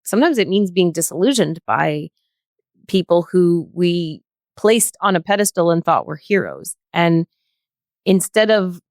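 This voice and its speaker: A woman with a fairly low voice shares her reflections on a podcast panel. She is thoughtful and measured, speaking earnestly in a very dry acoustic. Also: animated, darkish tone, no echo. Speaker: podcast guest.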